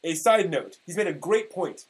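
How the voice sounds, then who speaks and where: This is a man in his forties with a voice a little higher than usual. He reads an online post aloud in a theatrical, mock-dramatic style. His delivery is emphatic and sometimes halting. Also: lively intonation, slightly rough. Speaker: performer reading old forum posts. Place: small room at home, indoors.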